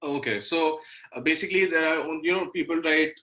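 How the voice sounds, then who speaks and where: A man's voice: on the high side, fairly quick, pushed and bright, rough recording. A young man in his twenties with an Indian accent, explaining things reflectively in casual interview talk as a singer-songwriter guest, often saying "you know". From Delhi, India.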